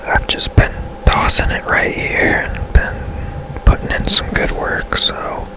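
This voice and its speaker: A man speaks in a hushed, breathy whisper throughout. His delivery is slow and unhurried, with long, easy pacing. He gives casual ASMR-style commentary as a gaming streamer.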